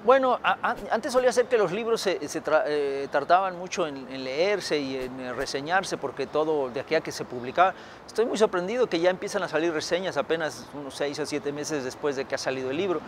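Middle-aged man from Mexico, slightly high voice, reflective interview answers with hesitations, faint traffic outdoors. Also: animated, brisk. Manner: thoughtful, many fillers and restarts. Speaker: historian author. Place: outdoors by a building.

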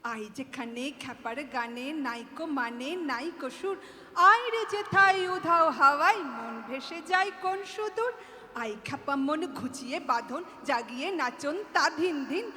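A woman's voice: high voice, expressive, moderate pace, bright, forceful tone. A female student giving a rhythmic poetry recitation on stage in a hall. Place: large indoor hall. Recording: echoey hall, faint background noise.

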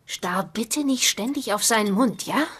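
Exasperated sitcom daughter, played by a woman: practical, highish voice, noisy track. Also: measured pace, lightly acted. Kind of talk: German-dubbed sitcom acting.

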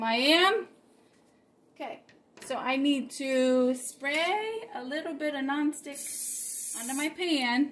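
High-voiced woman reading slowly to children. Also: a bit bright, a little hiss or hum. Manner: slow, exaggerated, playful expressiveness for young children. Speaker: preschool teacher reading to children. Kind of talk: children's storybook read-aloud with chat. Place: home kitchen, indoors.

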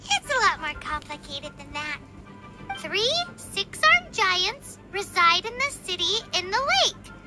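A tiny fairy girl with an extremely high, squeaky voice that swoops around. She is a cutesy, excitable game sidekick, with music behind her. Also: measured pace, exaggerated voice. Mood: excited. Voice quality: squeaky, childlike. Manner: cutesy, exclaiming, speaks of herself by name. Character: small excitable childlike fairy companion, female. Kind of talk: video game voice acting.

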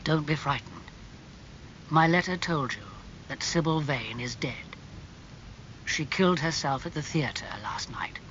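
A man voicing a suave, worldly gentleman in an audiobook, calm, languid and cynical as he talks. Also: a little high, unhurried, muffled recording, a little hiss or hum. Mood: calm, soothing. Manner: calm, smooth-talking, languid.

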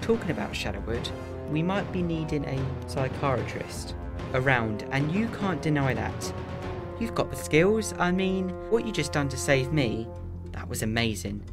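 Charming, absent-minded, apologetic male adventurer, played by a man; audio drama, middling pitch, clear music bed. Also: sing-song, a little slow. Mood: charming, admiring. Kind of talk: full-cast audio drama acting.

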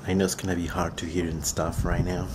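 A young man vlogging as he explores an abandoned house, talking excitedly to his viewers, recorded on a handheld camera. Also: deep voice, quick pace, background noise. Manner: excited, addresses viewers as fams. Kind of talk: casual exploring vlog. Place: outdoors and inside an abandoned rural house. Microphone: handheld camera at arm's length.

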